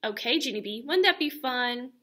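A woman reading a children's book aloud, voicing a firm, patient mother who speaks calmly. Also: on the high side, normal speed.

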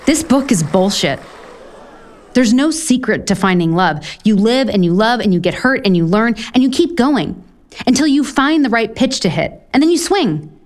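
A woman's voice: fairly high pitch, sing-song, normal speed, darkish tone. An actress playing an earnest, self-deprecating woman author in a romantic comedy audio drama, frank, rambling and profane. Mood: earnest, confessional.

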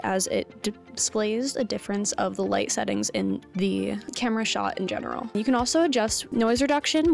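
A woman's voice: medium pitch, creaky. A young woman reading scripted instructional narration as a tutorial presenter. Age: young adult. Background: background music.

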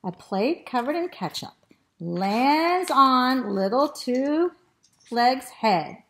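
Casting: woman as greedy, excitable boy dog for a children's storybook; raised pitch, unhurried, exclamatory delivery. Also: heavily acted. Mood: breathless excitement. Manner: exclamatory, drawn-out words and short repeated sniffing phrases. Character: excitable, greedy male dog narrating his food adventures. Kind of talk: expressive children's storybook reading.

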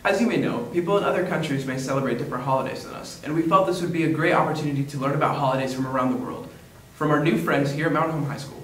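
Teen anchor at a school news desk, brisk delivery, heard from a distance. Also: male voice, on the high side, echoey room, a little hiss or hum. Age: teens. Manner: brisk read-aloud delivery.

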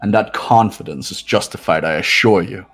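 A man acts out a haughty young male heir from a video game. His voice is low, and he talks down to everyone with measured, pompous superiority. Music plays clearly behind him. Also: fairly bright tone. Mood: pompous, self-satisfied. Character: arrogant, condescending wealthy young male heir. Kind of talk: visual novel game voice-over.

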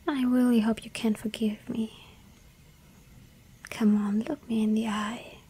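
A woman's voice: on the high side, flat delivery, a little slow. Whispering vampire girl, voiced by a woman. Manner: breathy soft whisper, pleading. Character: lonely yandere vampire girl, sweet and hungry. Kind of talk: ASMR roleplay whispering.

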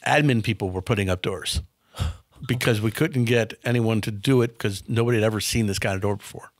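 A businessman in his fifties tells blunt stories from his career in a dark studio. His voice sits low and sounds dry and close to the mic. He talks candidly and often restarts phrases by repeating a word. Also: a little fast, dry recording. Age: 50s. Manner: frank, anecdotal, repeats words when starting. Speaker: business founder, guest. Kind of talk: candid interview storytelling. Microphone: studio boom mic.

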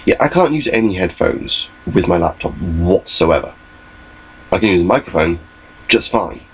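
A young man with a very low, gravelly voice rambles through a casual update from his bedroom. His delivery is lively and full of swings in pitch. A laptop mic catches a faint hum behind him. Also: normal speed. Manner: rambling, chatty, gestures a lot. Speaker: vlogger. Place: small bedroom, indoors. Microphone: laptop webcam mic.

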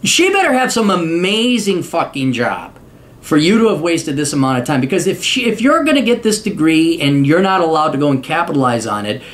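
A man in his forties giving blunt, profane advice from his home office, reading a viewer's letter and breaking into sudden high-pitched outbursts. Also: sing-song, fairly quick. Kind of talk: casual advice rant. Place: home office, seated in leather chair.

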